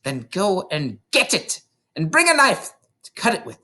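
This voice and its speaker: Menacing headmistress, woman, booming, high swooping pitch, mock-gentle, commanding, children's storybook villain. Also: huge pitch swings, unhurried, heavily acted. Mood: booming, gloating. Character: booming, menacing bully headmistress, falsely sweet and crafty.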